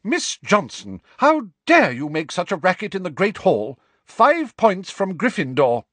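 Man playing a strict older woman teacher, high, sharp, clipped, slightly husky. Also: big pitch swings, a little slow, no room sound, heavily acted. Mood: stern. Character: stern strict older female professor.

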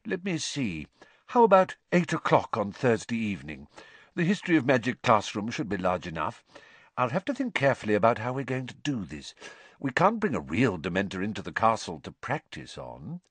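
A man voicing a gentle, tired middle-aged teacher who explains things patiently. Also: lowish voice, sing-song, a little fast, slightly rough, no echo.

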